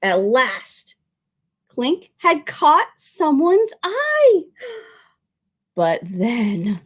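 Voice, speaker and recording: A woman reading a picture book aloud as a children's librarian, expressive, drawing out words and speaking to young listeners. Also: high-pitched, drawn-out words, slightly rough.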